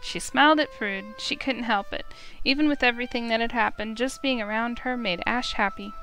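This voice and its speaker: A woman with a fairly high, creaky voice narrates a fantasy visual novel aloud. She reads it as a storyteller would, with music clearly playing behind her. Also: a little fast, bright, forceful tone, low-quality recording.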